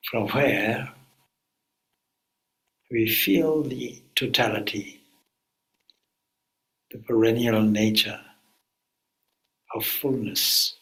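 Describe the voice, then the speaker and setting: A man, a swami, leading a guided meditation in a calm, unhurried way with long pauses. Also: medium pitch, low-quality recording.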